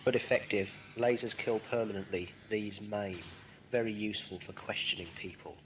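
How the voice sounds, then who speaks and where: A man's voice: fairly low pitch, steady tone, normal speed, slight background noise, close to an everyday voice. A man acting a menacing, curt prison-ship guard in an amateur audio drama. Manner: curt, threatening. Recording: music behind dialogue, home-made cassette drama.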